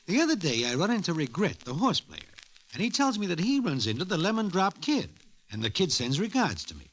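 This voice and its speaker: A genial New racetrack character spinning a yarn on vintage radio. His voice sits slightly high and moves freely up and down, wrapping street slang in stiff, formal grammar. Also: a bit bright, poor audio. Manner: mock-formal slang in present tense, no contractions. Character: Broadway, genial male racetrack hanger-on and storyteller. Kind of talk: old-time radio drama narration. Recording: vintage radio broadcast recording.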